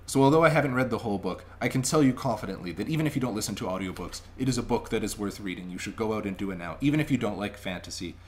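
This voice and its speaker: A man's voice: on the low side, slight background noise. A young adult man talking casually and emphatically to a webcam at home, chatty and enthusiastic as he gives a review. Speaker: vlogger reviewing audiobooks. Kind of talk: casual spoken review to camera. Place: small indoor room at home. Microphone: webcam microphone at arm's length.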